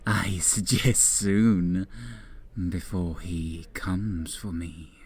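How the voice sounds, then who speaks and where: An actor playing an unhinged male asylum patient who eats flies, with a husky voice, taunting slowly and lurching between shouts and whispers. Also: low voice, steady tone, no room sound, heavily acted. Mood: sly, menacing. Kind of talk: audio drama acting.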